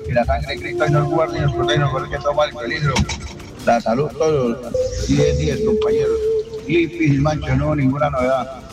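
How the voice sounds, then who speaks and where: A man's voice: a little high, big pitch swings, normal speed. A Colombian man, a taxi driver, greeting colleagues in a friendly, colloquial dispatch message. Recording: distant radio message, loud music.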